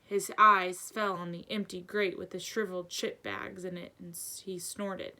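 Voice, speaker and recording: A woman teacher reading a children's story aloud with expressive narration. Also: fairly low pitch, moderate pace.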